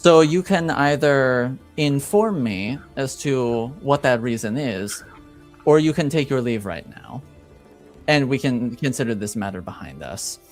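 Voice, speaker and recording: Man acting as haughty duke, very slow delivery, formal, skeptical, dismissive, tabletop fantasy roleplay. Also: mid-range voice, expressive, faint music behind, lightly acted. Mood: stern, confronting.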